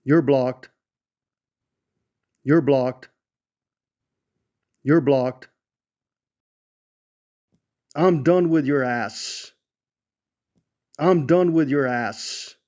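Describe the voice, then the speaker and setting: A man delivering short, isolated catchphrases in a deliberate way, as sound effect clips. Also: medium pitch, a little mellow.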